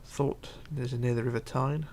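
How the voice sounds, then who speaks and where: A man hosting a casual livestream, reading chat aloud and chatting in a rambling, halting way. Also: medium pitch, steady tone, darkish tone. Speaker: Minecraft livestreamer.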